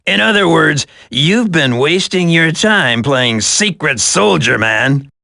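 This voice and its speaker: Gravelly, smug villain. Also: male voice, fairly high pitch, lively intonation, slow, sharp tone, no room sound, studio quality, heavily acted. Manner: drawling, taunting. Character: smug sadistic male terrorist villain, gloating and mocking. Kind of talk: theatrical video game villain acting.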